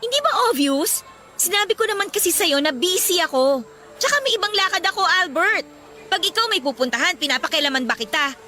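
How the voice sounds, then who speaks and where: A sassy young female student in a radio romance. She has a high, bright voice and an expressive, feisty way of talking. Music runs clearly underneath her. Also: fairly quick, full character voice. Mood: irritated. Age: young adult. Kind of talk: Tagalog radio drama acting.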